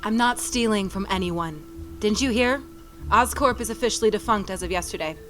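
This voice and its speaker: A woman's voice: medium pitch, normal speed, a bit bright, soft background music, close to an everyday voice. A woman playing a flirtatious, defiant, sly cat burglar, teasing and taunting in a scripted table read.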